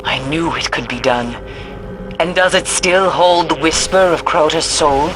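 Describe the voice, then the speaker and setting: Grim, low-voiced, haunted mystic woman. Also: unhurried, background noise. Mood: ominous. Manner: solemn, ominous, formal delivery. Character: grim, obsessed female mystic haunted by the Hive. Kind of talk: video game voice acting. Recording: loud game music behind voice.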